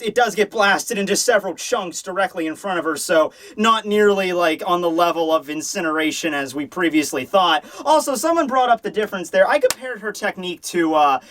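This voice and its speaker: High-voiced man, sarcastic, excitable reviewer. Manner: excitable, rambling, sarcastic asides with acted-out bits. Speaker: host of a manga review show. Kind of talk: casual, profane comedic review commentary.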